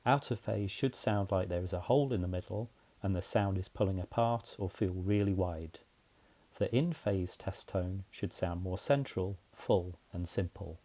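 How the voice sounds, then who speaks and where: A man's voice: deep voice, moderate pace, dark tone. A man narrating a scripted explainer in a methodical, plain-spoken way, as a tutorial presenter.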